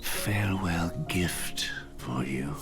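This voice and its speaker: An actor voicing a solemn, fatherly older gentleman in a game, speaking formally, like a preacher bidding children farewell. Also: low voice, lively intonation, slow, over music.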